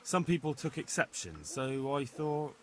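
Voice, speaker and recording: Hesitant, animated young village lad. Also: male voice, fairly high pitch, a little slow, slight background noise. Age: young adult. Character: polite, hesitant young blacksmith's son. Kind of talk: video game acting.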